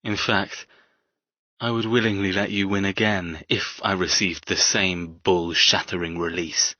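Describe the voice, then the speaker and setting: A man playing a cold, arrogant, seductive captor in an audiobook, speaking in a deliberate, taunting manner. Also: fairly even intonation, sharp tone, dry recording, lo-fi sound, exaggerated voice. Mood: seductive, controlled. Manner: low, deliberate, controlled, taunting. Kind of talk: audiobook character dialogue.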